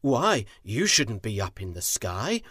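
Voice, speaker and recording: Storyteller as a male sky lord, gentle, stern, unhurried, storybook. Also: mid-range voice, sing-song, breathy edge, no echo, studio quality, voice pushed down, heavily acted. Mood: gentle, solemn. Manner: soft, slow and solemn.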